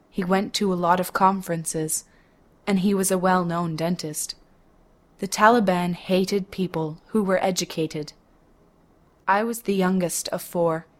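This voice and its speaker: A young woman in her twenties, reading her own memoir writing aloud in a slow, careful manner. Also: fairly low pitch.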